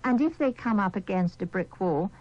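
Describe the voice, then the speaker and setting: A woman with a warm, dark tone and medium pitch gives measured information. The recording is muffled and lo-fi. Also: lively intonation. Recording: dull, lo-fi radio tape.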